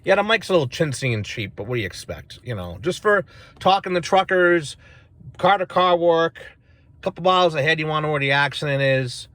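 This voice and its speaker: A man's voice: fairly high pitch, animated, fairly quick, a bit bright, no echo. Rambling, plain-spoken American man. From northern United States. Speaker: CB radio enthusiast reviewing gear. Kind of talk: casual off-the-cuff product demonstration. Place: inside a parked car.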